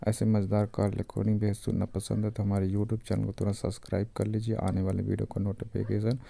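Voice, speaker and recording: Dark, creaky male announcer. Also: lowish voice, completely flat delivery, fast, dry recording. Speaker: YouTube channel announcer. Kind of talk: scripted outro announcement.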